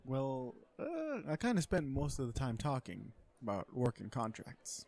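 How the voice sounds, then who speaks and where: Casting: male actor as a sardonic, flirty corporate supervillain for romantic comedy audio roleplay, mid-pitched, conversational and sure of himself. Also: wildly swooping pitch, a little slow, creaky, a little mellow, heavily acted. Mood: flirty, relaxed. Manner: conversational venting, sardonic and self-assured. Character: cocky, flirtatious businessman supervillain on a blind date.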